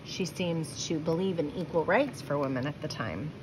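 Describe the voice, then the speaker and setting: A woman reading a children's picture book aloud, with expressive, deliberate phrasing. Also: low-pitched, noisy background. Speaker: storybook reader.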